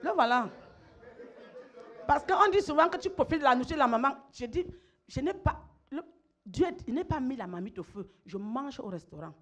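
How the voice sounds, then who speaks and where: A woman's voice: high voice, lively intonation, normal speed, pauses often, fairly bright tone. A middle-aged woman pastor, preaching emphatically, repeating phrases and calling on her listeners to respond. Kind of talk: sermon.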